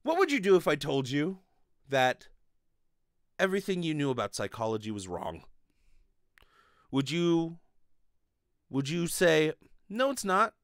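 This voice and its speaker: A man doing a parody of a smug, earnest inspirational speaker, deliberate and portentous with dramatic pauses. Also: a little high, sing-song, clean tone, no room sound, studio quality. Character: smug, earnest male TED-style keynote speaker parody. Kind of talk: parody of polished inspirational speech.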